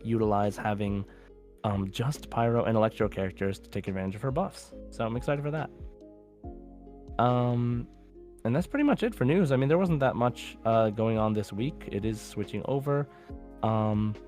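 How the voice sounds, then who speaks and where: A man's voice: on the low side, moderate pace, a little mellow, soft background music. A man hosting a casual gaming podcast, talking in an enthusiastic, rambling way. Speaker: podcast host.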